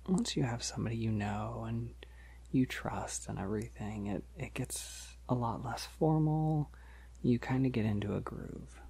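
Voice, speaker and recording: A man playing a polite, gentle, shy vampire in an ASMR roleplay, speaking softly and hesitantly to reassure the listener, often near a whisper. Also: medium pitch, gravelly, slightly rough. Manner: hesitant, reassuring, halting with soft chuckles.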